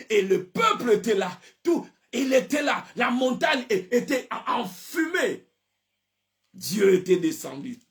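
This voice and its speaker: A man with a Congolese accent preaching an impassioned sermon, repeating phrases emphatically and often raising his voice to a shout. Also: high-pitched. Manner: switches between French and Lingala. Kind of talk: fervent sermon with scripture reading.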